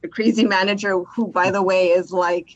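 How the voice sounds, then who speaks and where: A woman's voice: medium pitch, steady tone, normal speed, lo-fi sound. A woman filmmaker giving casual, conversational answers as an interview guest.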